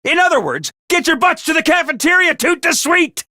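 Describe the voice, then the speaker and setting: A man voicing a peppy, bossy little mascot commanding officer in a video game, with a high cartoonish voice and theatrical, jaunty drill-sergeant delivery. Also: very animated, brisk, bright, forceful tone, full character voice. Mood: gleeful, rousing. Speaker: voice actor for a video game character. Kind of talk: animated video game voice acting.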